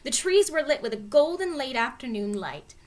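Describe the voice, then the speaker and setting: A woman reading a children's storybook aloud as narrator, with expressive delivery. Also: high voice, normal speed. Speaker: story reader.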